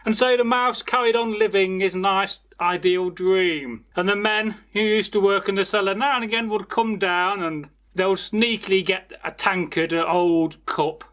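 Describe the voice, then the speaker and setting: High-pitched man telling a folktale. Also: steady tone, normal speed, hardly any pauses, a bit bright. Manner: chatty, rambling, addresses listeners directly. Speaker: storyteller, channel host. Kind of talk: informal folktale storytelling with asides.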